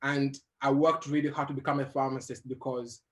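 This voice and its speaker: A young adult man who grew up in Uganda, telling his life story as a guest in an interview, animated and laughing as he goes. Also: mid-range voice, a little fast. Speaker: guest motivational speaker.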